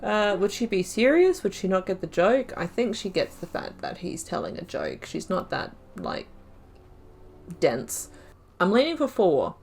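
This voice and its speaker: A woman's voice: mid-range voice, wildly swooping pitch, measured pace, gravelly, darkish tone. A woman hosting a let's play, chatting and thinking aloud as she plays, laughing often. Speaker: gaming channel host.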